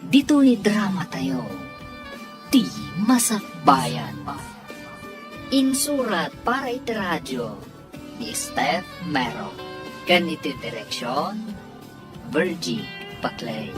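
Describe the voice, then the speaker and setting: Woman radio serial narrator, Ilocano accent, low voice, very animated melody, dramatic scripted delivery, clear music behind. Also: slow pace, pauses often.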